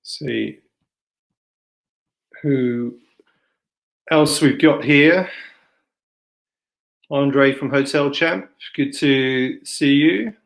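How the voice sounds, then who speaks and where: A man hosting an online event in a casual, unscripted way, with halting, informal delivery. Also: medium pitch, creaky. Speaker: event host and moderator. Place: online video session. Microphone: computer microphone, plugging in another mic partway.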